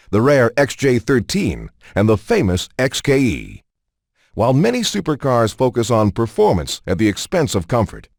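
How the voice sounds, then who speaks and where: Animated male narrator, dry studio sound. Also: medium pitch, dry recording. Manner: measured, polished promotional delivery. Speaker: video game narrator. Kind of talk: scripted documentary narration.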